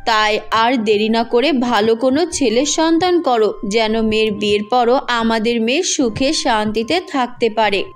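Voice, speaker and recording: A woman's voice: fairly high pitch, moderate pace, few pauses, faint music behind, pitched below the performer's own voice, close to an everyday voice. A woman voicing a fretful, scolding middle-aged mother in a cartoon fairy tale. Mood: worried, insistent. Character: exasperated, nagging middle-aged Bengali mother of a grown daughter.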